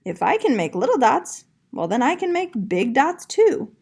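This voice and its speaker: A woman's voice: high-pitched, big pitch swings, normal speed, a little mellow, husky, exaggerated voice. A woman reading a storybook voices a discouraged, stubborn young schoolgirl with blunt, short lines. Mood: determined. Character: discouraged, stubborn young schoolgirl who doubts her drawing. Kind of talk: storybook character voice in a read-aloud.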